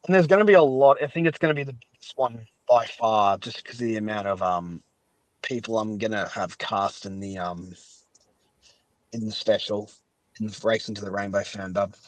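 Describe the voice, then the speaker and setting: Chatty guy hosting a podcast, kinda rambly and excited, close dry recording. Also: on the low side, moderate pace, dry recording, low-quality recording. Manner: chatty, rambling, giggly, enthusiastic. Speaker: podcast host and fan-dub maker. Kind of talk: casual chat.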